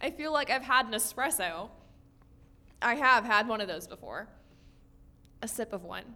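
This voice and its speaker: A woman's voice: a little high, animated, normal speed, pushed and bright. A woman playing a dramatic, earnest, lovestruck teenage girl in a comedic audio drama, gushing and theatrical. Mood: smitten, confiding. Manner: gushing, theatrical, exasperated with family.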